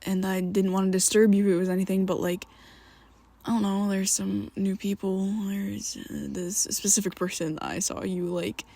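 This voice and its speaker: A woman in an ASMR roleplay, talking to the listener as a worried best friend, halting and rambling, often sinking into a soft whisper close to the mic. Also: medium pitch, level tone, no echo. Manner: hesitant and rambling, halting restarts.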